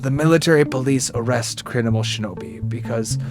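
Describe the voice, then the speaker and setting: A man's voice: medium pitch, brisk, natural voice. Cautious commander played by a man, music behind. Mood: matter-of-fact. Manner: reasoning, hesitant, explanatory. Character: cautious, level-headed male military commander of ninja. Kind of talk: fan-made audio drama acting.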